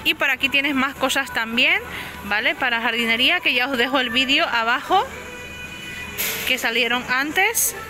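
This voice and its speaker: A woman's voice: sits high, moderate pace, poor audio. A woman filming on her phone in a supermarket, chatting casually as she lists prices and sizes and corrects herself. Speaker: shopping vlogger. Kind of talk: casual store walkthrough narration. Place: inside a large discount supermarket. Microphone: handheld phone camera. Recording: music playing under the voice. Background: background music.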